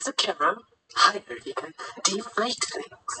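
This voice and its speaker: A man voicing a cocky, thoughtless teenage gangster boy in an audiobook, brash and excitable. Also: sits high, measured pace, slight background noise, voice pushed up, heavily acted. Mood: curious. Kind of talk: audiobook character voice.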